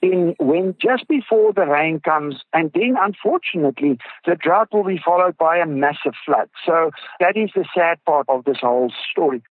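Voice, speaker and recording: A man's voice: fairly high pitch, animated, normal speed, hardly any pauses, slightly rough, no echo. A man from South Africa with an Afrikaans accent, a native Afrikaans speaker, explaining things earnestly as an expert guest in an interview. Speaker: groundwater researcher, interview guest. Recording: dull lo-fi audio with music behind. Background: music.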